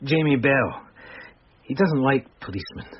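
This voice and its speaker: An actor playing a hungover, apologetic police detective sergeant in a radio drama, halting and deferential. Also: male voice, medium pitch, animated, natural voice. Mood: warning. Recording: dull lo-fi radio audio, faint noise.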